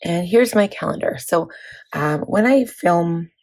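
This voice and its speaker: A woman in her thirties, hoarse from laryngitis, chatting casually about her planner with many fillers. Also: lowish voice, expressive, unhurried, a little mellow, a little hiss or hum, rough recording. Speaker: planning vlogger. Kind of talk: casual chat walking through a planner.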